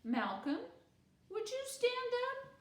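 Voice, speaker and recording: A woman's voice: very high voice, wildly swooping pitch, slow pace, roomy sound, voice pushed up, exaggerated voice. A woman voicing a confident, composed schoolgirl who holds court as a storyteller in a children's book, emphatic and poised. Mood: gracious.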